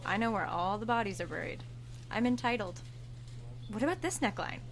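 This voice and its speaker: Self-assured cashier, thirties, mid-range voice, flippant, entitled, audio horror drama. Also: female voice, mid-range voice, animated, brisk, gravelly. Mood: breezy, smug. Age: 30s. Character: breezy, entitled, coolly menacing supermarket cashier woman of thirty.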